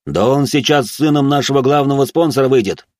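A man voicing a frantic, domineering gym director who yells out orders. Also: mid-range voice, fast talker, no room sound, clean studio sound, voice pushed up, natural voice. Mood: panicked fury. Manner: shouting, commanding.

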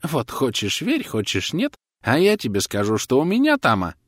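A man's voice: mid-range voice, huge pitch swings, moderate pace, dry recording, clean studio sound, voice pushed up. A man voicing a chatty, cheeky old male patient who boasts in sly, folksy speech for an audiobook. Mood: excited, boastful.

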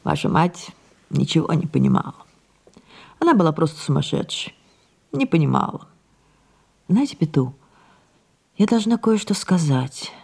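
An older woman acting an imperious, capricious, wistful aging French stage diva in an audio play, with theatrical shifts between exclamation and hush. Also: very animated, normal speed, long pauses, full character voice. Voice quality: husky, creaky low voice.

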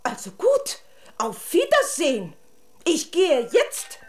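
An actress playing a haughty, dreamy, easily offended fairy-tale princess in a German radio play, with theatrical, self-important sighs. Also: female voice, very high-pitched, huge pitch swings, slow, background noise, full character voice.

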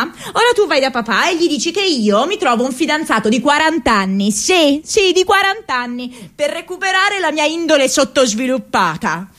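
High-voiced, restless young idealist. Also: female voice. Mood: teasing, indignant. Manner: halting, expressive, with broken repetitions. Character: young idealistic woman, emotional, restless, affectionately rebellious daughter. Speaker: actress. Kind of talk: dramatic radio theatre monologue.

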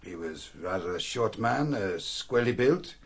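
An actor playing a reserved, polite scholarly priest in a radio drama, speaking carefully and formally with some hesitation. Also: male voice, lowish voice, lively intonation, normal speed, breathy edge. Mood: careful, uncertain.

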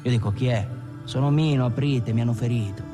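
An actor as a gangster reminiscing over music. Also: male voice, mid-range voice, a little fast, lightly acted. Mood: gritty recollection. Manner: conversational storytelling with rhetorical tags. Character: male Italian postwar gangster recounting his violent past. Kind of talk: dramatic first-person monologue in a film. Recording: music behind the voice.

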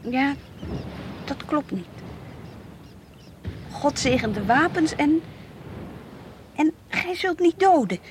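A high, girlish voice of a devout Dutch teenager, earnest and dreamy with a stubborn streak. She speaks as in a radio drama diary, with a Netherlandic accent, over faint recording noise. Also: expressive, takes it slowly, long pauses. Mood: earnest, sure. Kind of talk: Dutch radio play acting, diary monologue and dialogue. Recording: faintly hissy old radio play recording.

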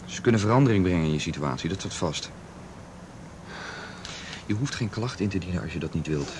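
A lightly noisy radio drama recording presents an earnest young man as a protective friend. His voice is fairly low, and he is persistent and reassuring as he urges. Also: animated, a little fast. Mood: calm, persuasive. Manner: urging, insistent. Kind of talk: naturalistic radio drama acting.

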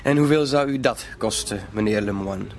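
Man acting a smooth male blackmailer, gravelly creak, coolly polite, insinuating, radio play, faint background noise. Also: fairly low pitch, normal speed, natural voice. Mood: cool, threatening.

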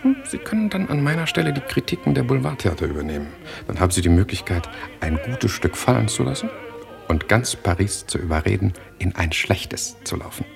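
An actor in a German radio play voicing a worldly, cynical journalist who talks in a glib, mocking, persuasive way. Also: male voice, lowish voice, very animated, a little fast, creaky. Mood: persuasive, eager. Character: worldly, cynical Parisian theatre journalist, brash schemer. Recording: studio radio play with music behind.

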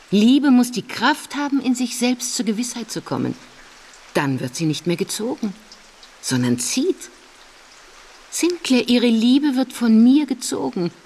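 A woman's voice: fairly high pitch, a little mellow, in a large hall. An actress playing a mysterious, serene and commanding mature woman in a radio drama, speaking with solemn deliberation. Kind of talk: German literary radio drama acting.

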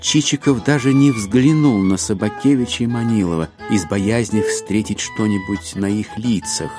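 An actor playing a wry narrator, giving expressive literary narration in an audio drama. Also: male voice, on the low side, moderate pace, close to an everyday voice. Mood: wry storytelling. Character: wry male narrator of a classic satirical novel. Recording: music behind the voice.

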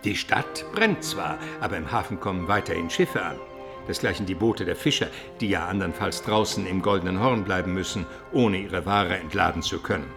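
An actor playing a learned, inquisitive Byzantine gentleman in a German radio play, speaking in a measured and articulate way. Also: deep voice, expressive, vocal fry, over music.

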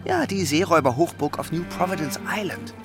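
A man's voice: fairly high pitch, expressive, fairly quick, over music, lightly acted. An actor playing a cautious, anxious young man in a German radio play, speaking in urgent, hesitant warnings. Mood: wary, hushed. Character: cautious, anxious young man, loyal companion to a reckless girl.

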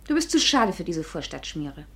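A woman's voice: on the low side, very animated, moderate pace. A woman playing a chatty, teasing, worldly backstage actress in a 1950s German radio drama. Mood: dry, kindly.

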